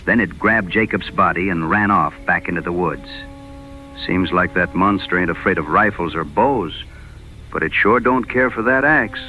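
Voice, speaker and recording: Wounded frontier escort played by a man: lowish, tense voice, folksy halting delivery, music faintly behind. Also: bright, forceful tone, low-quality recording. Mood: uneasy. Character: shaken, wounded rustic wagon escort. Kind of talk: dramatized audio western dialogue.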